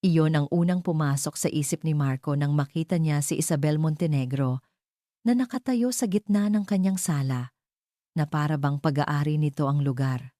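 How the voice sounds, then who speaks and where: Low-pitched Filipino woman narrating a story. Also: normal speed, mellow, dry recording, clean studio sound. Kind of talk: scripted dramatic story narration.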